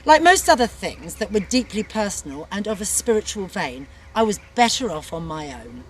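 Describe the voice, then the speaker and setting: A woman's voice: medium pitch, big pitch swings, moderate pace. A woman narrating comically in a haughty, dramatic way. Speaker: author reading a book aloud in character.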